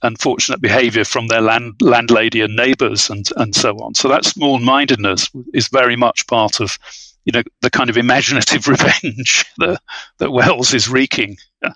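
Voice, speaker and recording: A man with a bright, crisp-edged voice is recorded close and dry. He talks as a scholarly podcast guest, unscripted and halting, repeating words as he builds each point. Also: medium pitch, steady tone, dry recording, rough recording. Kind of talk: unscripted analytical podcast discussion.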